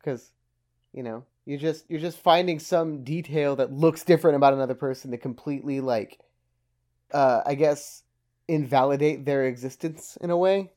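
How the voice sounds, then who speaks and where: A man's voice: on the high side, moderate pace, warm tone. A man co-hosting a casual podcast chat, rambling with frequent restarts and casual swearing. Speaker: podcast co-host.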